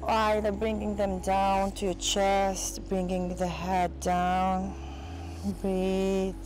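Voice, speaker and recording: A woman teaching yoga, giving slow, soft step-by-step cues on breath and stretching, with a voice. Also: mid-range voice, completely flat delivery, low-quality recording. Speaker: yoga instructor. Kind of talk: guided yoga instruction. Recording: voice over loud music.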